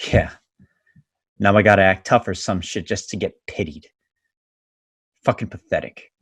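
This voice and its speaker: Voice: medium pitch, husky, no echo. An actor playing a bitter, washed-up fairy, cursing and grumbling in streetwise slang in a theatrical reading. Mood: scornful nostalgia. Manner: profane, streetwise, grumbling, slangy American speech. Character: foul-mouthed, bitter, washed-up magical fairy living on pity. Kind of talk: theatrical monologue in a staged reading. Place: indoors on a video call. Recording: close, dry video call audio.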